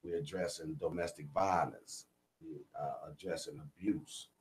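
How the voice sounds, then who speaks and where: A man's voice: extremely low pitch, steady tone, unhurried, darkish tone, slight background noise. An American man speaking as an interview guest in casual, streetwise, emphatic talk, often checking that he is understood. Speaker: guest, stage playwright.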